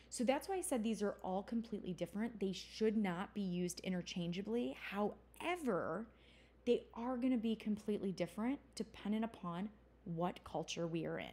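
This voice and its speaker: Woman instructor, mellow tone, casual explanatory lecture style with self-corrections. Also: medium pitch. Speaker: college instructor. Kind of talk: recorded lecture.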